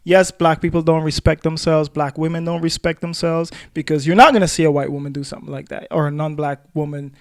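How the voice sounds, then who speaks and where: A man with a high voice talks as a podcast co-host in a casual discussion. He often doubles back on phrases while making his argument. The sound is dry, with hardly any room on it. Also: little pitch movement, fast talker, few pauses, dry recording.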